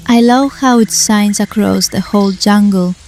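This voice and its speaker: A woman voicing a sensible, helpful young zebra in a children's storybook, with measured, advising delivery. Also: medium pitch, a little mellow, slight background noise, lightly acted.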